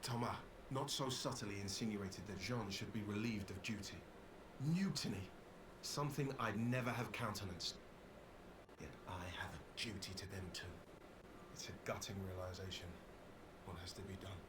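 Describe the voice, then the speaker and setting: An actor playing a weary, dutiful, conflicted expedition officer recording a solemn journal in a video game. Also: male voice, on the low side, far from the mic, noisy background, close to an everyday voice. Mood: conflicted, grave. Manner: grave, solemn, deliberate.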